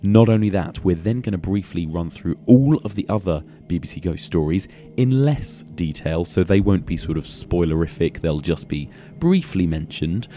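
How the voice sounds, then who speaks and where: Hoarse male podcast host with a deep, dark voice, eager and upbeat. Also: animated, soft background music. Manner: enthusiastic, quick affirmations. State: hoarse, losing his voice. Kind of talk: casual chat and scripted outro.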